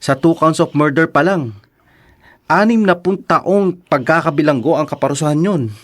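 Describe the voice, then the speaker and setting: A man with a Filipino accent playing a frank, concerned lawyer friend in a radio drama, candid and measured. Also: a little high, natural voice. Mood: grave, candid.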